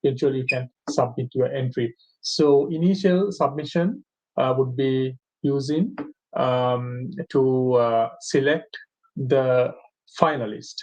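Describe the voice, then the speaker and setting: A man hosting an online session, walking viewers through steps with lots of fillers and pauses. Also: medium pitch, darkish tone, rough recording. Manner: hesitant, many fillers. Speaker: host. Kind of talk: webinar moderation.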